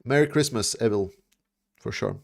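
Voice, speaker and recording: A man's voice: medium pitch, huge pitch swings, normal speed, vocal fry. Casual man on livestream. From Latvia. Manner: rambling, with many fillers and restarts. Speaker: livestream host. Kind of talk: casual livestream chat answering viewer questions.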